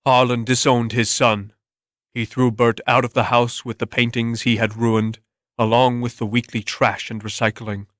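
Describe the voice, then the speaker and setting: A man's voice: medium pitch, flat delivery, normal speed, a bit bright, no room sound, clean studio sound. A man giving a dramatic scripted reading of a story as its narrator.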